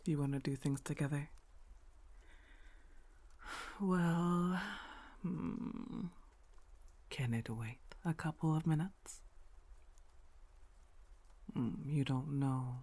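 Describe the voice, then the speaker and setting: A woman playing a dominant, indulgent, coolly amused girlfriend in an intimate, partly whispered ASMR roleplay, coaxing and teasing with a husky, breathy voice. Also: low voice, full character voice. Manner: slow, coaxing, teasing questions with soft chuckles.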